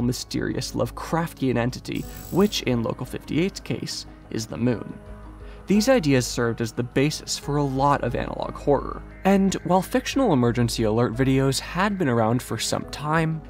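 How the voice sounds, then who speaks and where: A man narrates a documentary from a script, at a middle pitch. His voice sits over a bed of music as he explains the subject. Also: creaky, darkish tone.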